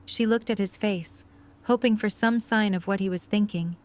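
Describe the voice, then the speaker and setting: A woman's voice: mid-range voice, moderate pace. A woman reading scripted story narration for an audio drama.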